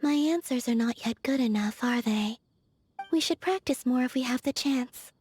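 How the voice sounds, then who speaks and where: A woman voicing a gentle, naive young moon goddess in a video game, with a soft, airy, delicate voice and a serene, measured, innocently earnest delivery. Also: high voice, breathy edge. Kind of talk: scripted video game character dialogue. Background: background music.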